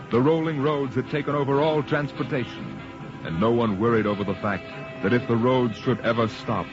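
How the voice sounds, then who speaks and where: Dramatic old time radio narrator guy, measured delivery, muffled sound, big music under him. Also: mid-range voice. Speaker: announcer and narrator.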